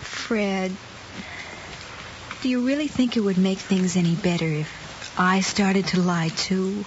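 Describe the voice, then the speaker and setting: A woman's voice: medium pitch, takes it slowly, close to an everyday voice. Woman acting an earnest teenage girl, muffled sound. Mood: concerned. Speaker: actor. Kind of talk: mid-century American television drama acting. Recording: muffled, faintly hissy old television soundtrack.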